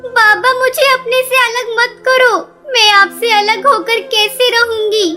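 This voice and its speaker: A woman's voice: very high voice, level tone, takes it slowly, pushed and bright, faint music behind, exaggerated voice. A woman voicing a small, sad young girl bird who pleads tearfully. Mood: pleading.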